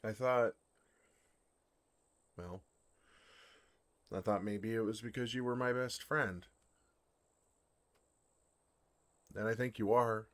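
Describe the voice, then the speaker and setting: A man voicing a bashful, earnest dragon in love in an ASMR fantasy roleplay, speaking tenderly with hesitant, awkward pauses. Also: on the low side, fairly even intonation, natural voice. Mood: tender realization.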